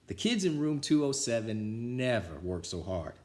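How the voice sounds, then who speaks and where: A man's voice: medium pitch, sing-song, moderate pace, darkish tone. A man reading a children's story aloud as a storytime narrator. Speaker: storybook reader.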